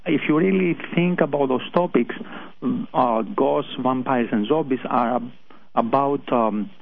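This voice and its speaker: A man with a Greek accent, a guest on a radio show, answering hesitantly with many pauses and restarts. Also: medium pitch, vocal fry. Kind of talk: phone-in interview. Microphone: telephone. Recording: tinny phone line.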